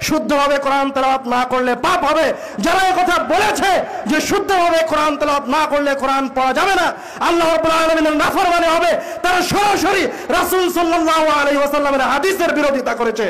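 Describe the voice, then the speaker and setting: A male preacher delivers a fiery sermon in a very high, straining register. He is rapid, declamatory and repetitive. A crowd is faintly audible. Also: fairly bright tone, a little hiss or hum, low-quality recording.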